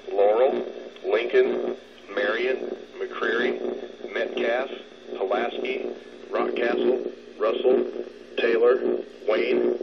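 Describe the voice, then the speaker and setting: A man reading a scripted public warning test announcement over weather radio in a formal, measured manner. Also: mid-range voice, creaky. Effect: weather radio broadcast. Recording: muffled, very noisy weather radio reception.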